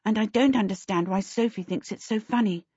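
A woman's voice: high-pitched, slow, creaky, a little mellow, no room sound, lo-fi sound, voice pushed up, exaggerated voice. A woman voicing a bold young heroine in a storybook, outspoken and direct. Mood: puzzled. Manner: outspoken, urgent.

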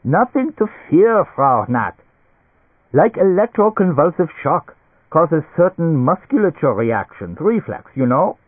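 Excitable high-pitched German doctor, tinny audio. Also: male voice, unhurried, slightly rough, no echo, heavily acted. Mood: soothing, bossy. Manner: effusive, broken English sprinkled with German words. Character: male German doctor, excitable, giggling, fussily reassuring. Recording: tinny band-limited radio-like sound.

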